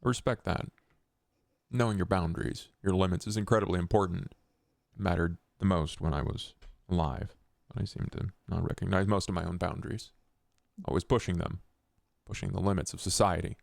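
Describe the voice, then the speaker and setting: A man playing a formal, loyal, reflective vampire with measured, courtly wording in a tabletop roleplay podcast. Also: low voice, creaky, darkish tone, no room sound, clean studio sound. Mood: solemn, loyal.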